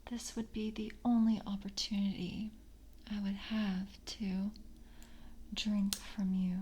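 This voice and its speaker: A woman playing a composed, seductive vampire coven member in an ASMR roleplay, speaking in a soft, breathy whisper with slow, formal, intimate delivery. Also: mid-range voice, level tone. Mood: hungry, seductive.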